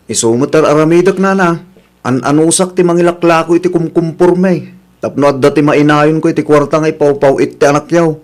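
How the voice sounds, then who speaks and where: A man's voice: fairly high pitch, steady tone, fairly quick, close to an everyday voice. An actor playing an earnest, responsible young working man in a radio drama, speaking respectfully. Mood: earnest, defensive.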